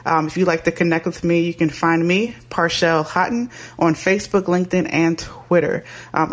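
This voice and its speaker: A man hosting a marketing podcast, upbeat and peppered with filler ums and uhs. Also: on the high side. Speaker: podcast host.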